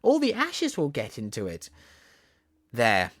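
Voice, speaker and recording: A man voicing a bright, curious, giggly little girl from a storybook, chatty and excitable. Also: mid-range voice, measured pace, no room sound, studio quality, full character voice. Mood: amused, giggly.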